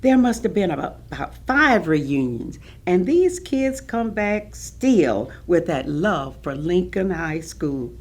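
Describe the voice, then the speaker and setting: An actress playing an earnest, dignified older community elder, reflective and emphatic in a staged reading of recollections. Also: female voice, lowish voice, very animated, slow, few pauses, exaggerated voice. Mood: fond, wistful. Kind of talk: staged reading of oral history testimony.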